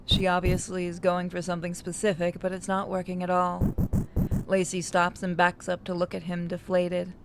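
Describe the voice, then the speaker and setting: A woman reads out a visual novel as its narrator and player. Her voice has a heavy fry and stays fairly even in pitch. Music plays clearly behind her. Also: moderate pace, rough recording.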